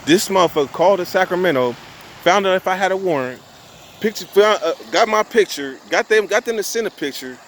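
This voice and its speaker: A man's voice: high voice, expressive, fairly quick, slight background noise. A young man telling a long story to his viewers in casual street slang, cussing and restarting his sentences often. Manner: profane, rambling, street slang; restarts and repeats himself often.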